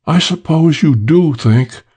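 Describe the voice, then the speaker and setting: A man plays a beautiful woman crook in a crime audiobook, the pitch lifted well up. She speaks in an earnest, pleading way, as if confessing. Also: animated, slow, warm tone, slightly rough, full character voice.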